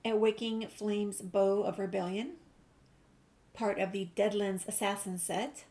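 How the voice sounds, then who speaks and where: A woman's voice: mid-range voice, fairly even intonation, measured pace, dark tone. A woman giving informal, easygoing playthrough commentary and reading game text and dialogue choices aloud. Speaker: gaming video creator.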